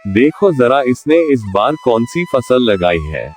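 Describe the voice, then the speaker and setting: An actor voicing a landlord's sly, mocking crony in a cartoon, who needles and blusters. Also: male voice, medium pitch, big pitch swings, unhurried. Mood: gleeful. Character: sly flattering male sidekick, landlord's crony.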